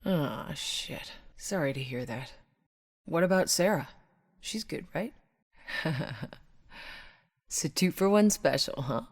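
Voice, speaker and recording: An actress playing a tough, sardonic, battle-hardened soldier, the protagonist of a video game, with a blunt, wry delivery. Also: female voice, deep voice, expressive, slow pace, pauses often, dry recording, clean studio sound, full character voice. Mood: dry, teasing. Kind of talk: video game character voice lines.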